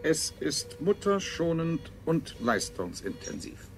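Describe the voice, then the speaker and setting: Creaky, swooping, smug scientist. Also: male voice, a little high, sing-song, takes it slowly, exaggerated voice. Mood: smug, fussy. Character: male colony scientist, fussy, coldly proud of his work. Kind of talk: stylised radio play acting. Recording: radio drama with prominent music.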